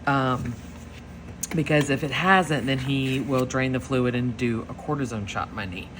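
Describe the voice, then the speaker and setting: A woman's voice: extremely low pitch, unhurried. A woman, rambling through a story full of fillers while planning, with faint background noise. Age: 40s. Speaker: planner vlogger. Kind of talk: casual chat while planning.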